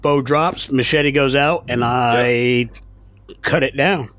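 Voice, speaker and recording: A man's voice: medium pitch, slow pace, fairly bright tone, dry recording. A man speaking casually as a player in a tabletop game, on slightly dull audio.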